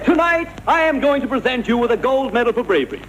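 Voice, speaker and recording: A man's voice: very high voice, sing-song, fast talker, a bit bright, full character voice. A man playing a formal, patient presenter, the straight man in an old vaudeville sketch, declaiming to the audience. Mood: ceremonious. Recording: very noisy old stage recording.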